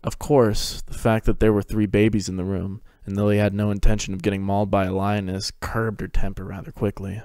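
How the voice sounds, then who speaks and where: A man's voice: lowish voice, level tone, fairly quick, hardly any pauses, darkish tone, smooth, no echo, studio quality. A man narrating a fan fiction story as a scripted dramatic reading.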